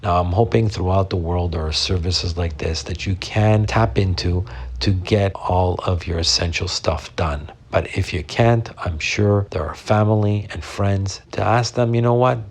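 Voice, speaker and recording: A man from Canada with a low, husky voice speaks in a steady, earnest way. He delivers a prepared statement full of practical advice, like a guest reading his own piece. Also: a little slow. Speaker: guest, drugstore worker.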